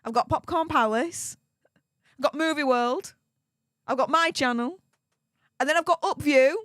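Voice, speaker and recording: A woman's voice: sits high, moderate pace, a bit bright, dry recording, clean studio sound. A woman with a Yorkshire accent hosting a live stream, reading out donations with perky, gushing enthusiasm. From Yorkshire, England.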